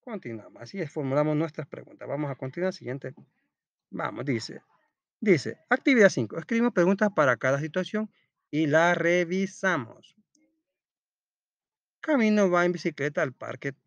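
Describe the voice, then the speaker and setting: A man's voice: fairly high pitch, no echo, lo-fi sound. A man reading a children's school lesson aloud like a teacher, step by step, posing questions and answering them himself. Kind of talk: read-aloud storytelling and step-by-step lesson narration.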